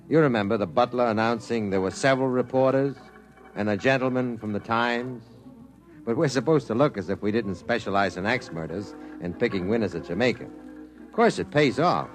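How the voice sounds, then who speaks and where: A man voices a worldly science reporter narrating a 1950s radio play with dry, wry wit. His voice sits low, with faint music underneath. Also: natural voice. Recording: vintage 1950s radio transcription.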